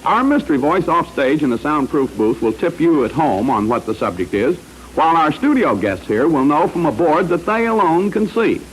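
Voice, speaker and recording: High-voiced old-time radio quiz host, male. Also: big pitch swings, moderate pace, rough recording. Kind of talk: 1940s radio hosting. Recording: old radio broadcast, faint noise.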